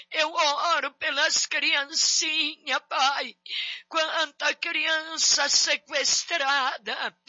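Woman praying fervently, very slow and bright. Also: sits high, dry recording, lo-fi sound. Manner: pleading, drawn-out phrases with frequent "meu Pai" refrains. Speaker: prayer leader. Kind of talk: fervent intercessory prayer.

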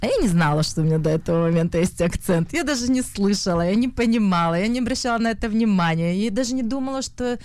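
A young woman from Russia with a Russian accent, an actress giving an interview, often pausing and restarting as she searches for words. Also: medium pitch, animated, a little mellow, no echo. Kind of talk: hesitant conversational interview.

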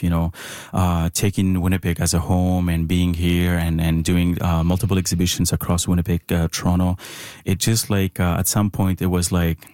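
Deep-voiced Syrian man, halting speech. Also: a little mellow, no echo, studio quality. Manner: hesitant, halting, searching for words. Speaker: visual artist, interview guest. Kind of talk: conversational interview answers.

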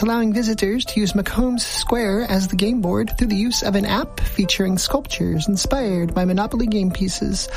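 Female public radio announcer, some vocal fry, brisk scripted read, faint music underneath. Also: mid-range voice, a little mellow, rough recording. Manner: brisk, announcer-style reading.

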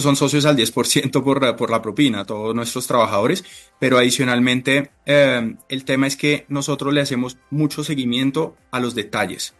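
A man speaking Spanish, giving halting, deliberate conversational answers as a chef being interviewed. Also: medium pitch. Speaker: interview guest, restaurant chef.

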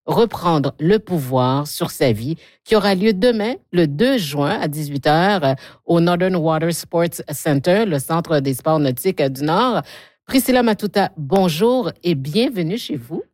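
A woman's voice: lowish voice, a little mellow, no echo, clean studio sound. A woman hosting a radio interview, introducing her guest and asking leading questions. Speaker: radio host.

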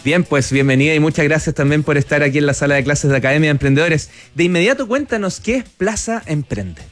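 A man's voice: on the high side, animated, fast, soft background music. A man hosting a talk program, upbeat, affirming his guests and asking questions. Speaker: host.

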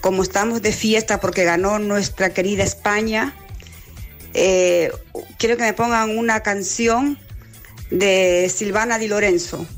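A woman's voice: mid-range voice, steady tone, a little slow. A woman with a coastal Ecuadorian accent chatting casually to a radio station as a listener asking for music. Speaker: radio listener sending a song request. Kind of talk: casual chat.

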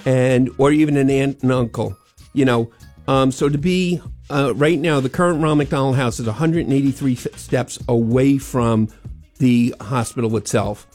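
A man's voice: mid-range voice, fairly even intonation, moderate pace, a little mellow, faint music behind. An older man with a New York accent, a guest on a radio show, talking earnestly and rambling a little about a charity sponsorship. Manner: earnest, rambling, repeats "as far as it". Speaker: sponsor guest, restaurant owner. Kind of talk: radio interview.

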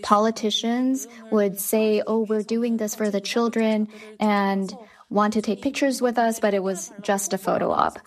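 A woman's voice: mid-range voice, steady tone, measured pace. Woman interpreter with a mid-range voice. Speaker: interpreter voicing a guest's answers. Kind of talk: spoken interpretation of interview answers.

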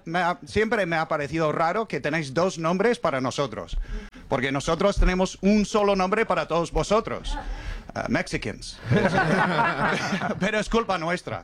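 A man's voice: high voice, fairly bright tone. Wry foreign man doing stand-up. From English-speaking country. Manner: wry, self-mocking storytelling with punchlines. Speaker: stand-up comedian. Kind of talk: stand-up comedy set. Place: comedy club stage with a laughing audience.

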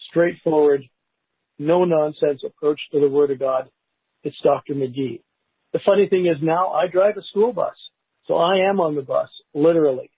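A man in his sixties giving a heartfelt personal testimony in a voicemail, halting and pausing mid-sentence. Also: fairly high pitch, slightly rough, dry recording. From Canada. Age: 60s. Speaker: listener leaving a voicemail, school bus driver. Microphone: phone. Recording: tinny, narrow phone voicemail line.

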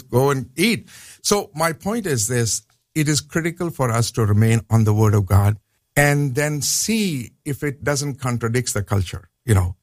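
A man's voice: mid-range voice, no room sound. A man with a Pakistani accent talks as a guest professor on a radio program. His delivery is earnest and explanatory, and he comes back to his points to stress them. The studio sound is dry and close. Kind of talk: radio interview.

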